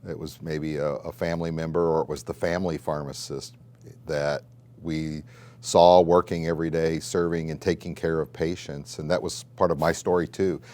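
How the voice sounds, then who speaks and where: A middle-aged man with an American accent, telling his story in a measured, conversational way as he answers interview questions. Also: a bass voice, level tone, a little mellow. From Southern Illinois, United States. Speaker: college dean and pharmacist.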